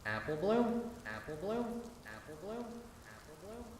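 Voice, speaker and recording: A man's voice: high voice, very animated, a little slow, very echoey, distant mic, a little hiss or hum, voice pushed up. A man voicing a frantic young adult mare in a horror story, calling out urgently for a lost sister. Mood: worried, calling.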